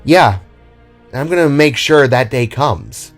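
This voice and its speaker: A man's voice: medium pitch, expressive, a little slow, faint music behind, voice pushed up, natural voice. Man voicing a determined, teasing wife. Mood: teasing resolve. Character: determined, teasing pregnant wife, female Spark.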